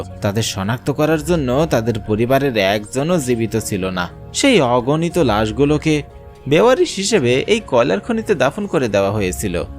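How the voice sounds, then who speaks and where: A man playing a respectful tea seller in a horror cartoon, speaking in a solemn, deferential way. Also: medium pitch. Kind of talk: dramatic horror cartoon acting.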